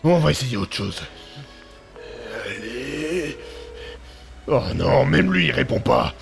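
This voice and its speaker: A man's voice: mid-range voice, lively intonation, takes it slowly, creaky, faint music behind, heavily acted. An actor playing a tough, streetwise man who talks bluntly, swears and mutters to himself in an audio drama. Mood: muttering.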